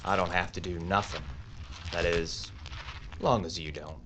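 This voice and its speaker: Low calm gunslinger, a man doing it, mocking and cool, slight hiss. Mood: calm, commanding. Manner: calm, unhurried, mocking drawl. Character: cool, taunting male Old West gunfighter.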